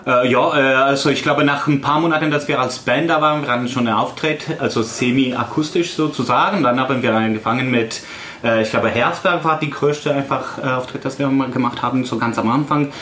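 A man from Italy, a band member who speaks German haltingly, searching for words. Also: medium pitch, fairly bright tone, reverberant, slight background noise.

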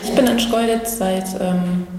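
A woman's voice: mid-range voice, fairly even intonation, measured pace, warm tone. A woman giving a matter-of-fact, slightly hesitant interview answer as a library head. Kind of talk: spontaneous interview talk. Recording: heavy echo, hall reverb, faint noise.

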